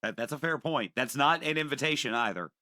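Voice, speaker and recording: A man's voice: medium pitch, lively intonation, a little fast, sharp tone, no room sound. A man in his thirties, a podcast co-host, bantering casually with dry, profane wisecracks.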